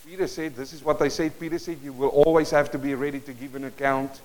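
A man from South Africa with an Afrikaans accent, preaching a sermon to a congregation and telling a personal story with animated bursts. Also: on the high side, fast talker, poor audio. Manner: storytelling, animated bursts, moves around the stage. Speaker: pastor. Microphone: worn or handheld mic that cuts in and out while he moves.

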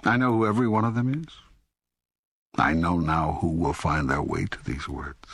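God as wry old teacher, very low gravelly voice, sweeping intonation, deliberate, emphatic, audiobook drama. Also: male voice, sing-song, fairly bright tone, no room sound, studio quality, exaggerated voice. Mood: emphatic, impassioned.

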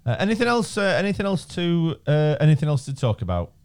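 A man in his thirties hosts a chatty business podcast from a studio. He jokes and rambles, and his voice jumps around a lot in pitch. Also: big pitch swings, fast talker, a little mellow, clean tone. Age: 30s. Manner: joking, rambling, repeats phrases. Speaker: podcast host. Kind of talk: casual chat.

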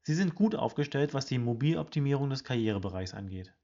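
A man hosting a weekly HR news podcast, reading a scripted report in a brisk, informative way and addressing listeners directly. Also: medium pitch, animated, dark tone, dull audio. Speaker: podcast host presenting HR study results.

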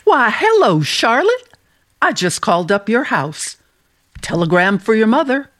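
An actress playing a matter-of-fact, chatty small-town telegraph clerk in a radio play, dry in manner. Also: female voice, on the high side, big pitch swings, a little slow. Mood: friendly.

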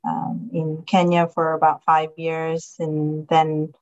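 A woman in her forties from Somalia, a guest on an interview, telling her story in an earnest, halting way with many ums and uhs over slightly muffled remote audio. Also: low voice, monotone. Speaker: guest, county commissioner.

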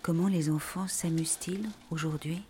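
A woman reading literary texts in a breathy, whispery voice with deliberate, measured phrasing. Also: deep voice, fairly even intonation, warm tone, a little hiss or hum.

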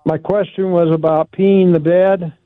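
A male caller on a radio show, talking haltingly with many pauses and fillers. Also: high voice, little pitch movement, no room sound. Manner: halting, many fillers, loses his words. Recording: tinny phone line.

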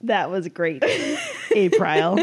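Husky, lively woman, unhurried and giggly. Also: fairly low pitch. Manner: giggly, informal. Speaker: podcast co-host. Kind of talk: casual chat.

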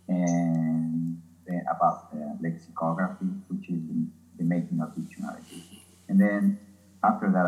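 A man's voice: low voice, phone-quality sound. A man from El Salvador with a Salvadoran Spanish accent, explaining things casually as an interview guest. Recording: echoey, roomy sound.

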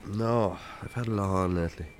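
An actor playing a weary, defensive middle-aged Irish farmer who drinks too much, curt and evasive, in naturalistic radio drama. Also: male voice, deep voice, fairly quick, slight background noise. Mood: evasive, awkward.

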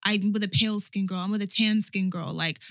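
A woman's voice: medium pitch, fairly even intonation, a little fast, a bit bright, dry recording, studio quality. A young woman in a casual group chat, speaking emphatically and filling her talk with "like".

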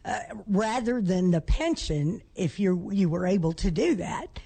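A woman co-hosting a radio talk show with a rough, husky voice, chatty and quick to chime in. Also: mid-range voice. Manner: chatty, lively interjections. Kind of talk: casual talk radio banter.

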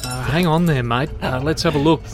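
A man playing a calm, practical military rescue worker in an audio drama, speaking in clipped procedural phrases. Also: on the high side, brisk, close to an everyday voice. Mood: focused, businesslike.

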